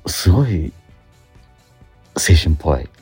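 Middle-aged man, very low voice, mellow tone, casual radio host, halting delivery, faint music behind. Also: very animated, husky. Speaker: radio host and theatre actor. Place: theatre auditorium during rehearsal.

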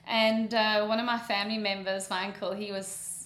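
A woman from Mauritius with a South African accent, telling her career story as a podcast guest in a relaxed, storytelling way. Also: mid-range voice, normal speed. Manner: relaxed storytelling, frequent ums. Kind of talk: conversational interview answer. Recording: echoey room.

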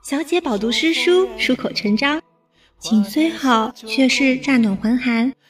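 Woman playing a very high-voiced young maidservant. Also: measured pace. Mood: dutiful, concerned. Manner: deferential, fussing. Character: young maidservant, dutiful, caring, cautious. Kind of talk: Chinese period audio drama acting. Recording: distant, music behind.

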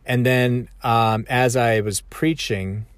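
A man's voice: mid-range voice, fairly even intonation, takes it slowly, dry recording. A man talking reflectively in a conversational, self-correcting way. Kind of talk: reflective solo talk.

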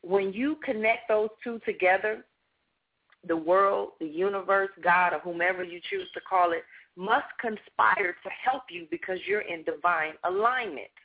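Husky woman preaching purpose on a phone line. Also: medium pitch, moderate pace. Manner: preachy, motivational, spiritual. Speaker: guest, natural hair business owner. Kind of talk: motivational interview talk.

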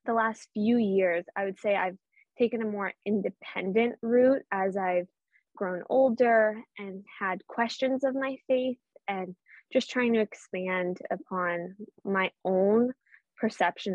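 A woman's voice: mid-range voice, no room sound. Earnest teenage girl with a Boston accent. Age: teens. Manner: earnest, thoughtful, with frequent ums. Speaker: podcast guest. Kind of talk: casual interview answers. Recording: dull lo-fi sound.

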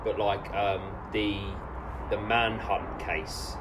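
A man in his late twenties chats casually as a podcast co-host, his voice sitting fairly low. He stumbles and restarts his sentences as he tells stories. Steady traffic noise runs behind him. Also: slow pace. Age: late 20s. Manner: stammering restarts, storytelling. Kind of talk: casual chat.

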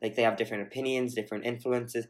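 A man's voice: medium pitch, steady tone, quick pace. Man co-host, mid-range voice, reflective casual talk with plenty of hedges and restarts. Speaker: podcast co-host. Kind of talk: casual discussion.

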